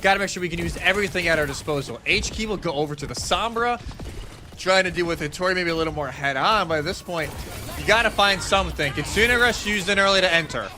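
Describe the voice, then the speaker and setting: A man's voice: high voice, fast talker, noisy background. A man giving casual, analytical live commentary as an esports caster, with music faintly behind him.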